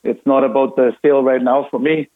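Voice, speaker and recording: A man's voice: mid-range voice, brisk. A man in his thirties from Austria with an Austrian German accent, a radio guest talking earnestly with a lot of fillers. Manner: earnest, relaxed, many fillers. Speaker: insurance broker, radio guest. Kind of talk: phone-in radio interview. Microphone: phone. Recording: tinny phone line.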